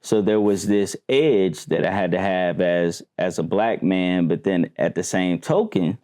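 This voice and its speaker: Low mellow-voiced male talk host. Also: a little slow, clean tone. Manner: conversational, affirming, asks follow-up questions. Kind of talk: talk show discussion.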